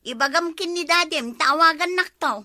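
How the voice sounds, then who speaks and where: A woman's voice: extremely high pitch, moderate pace, bright, forceful tone, full character voice. A woman with an Ilocano accent acting an anxious, hard-pressed mother working overseas, in a comedy drama. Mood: anxious, pressing.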